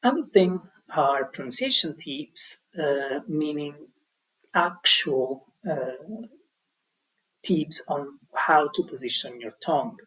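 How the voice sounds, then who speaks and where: Husky man giving a hesitant tutorial. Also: a little high, lively intonation, slow, a bit bright, low-quality recording. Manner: hesitant, many ums and restarts. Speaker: language teaching tutor. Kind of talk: explaining an online tutorial.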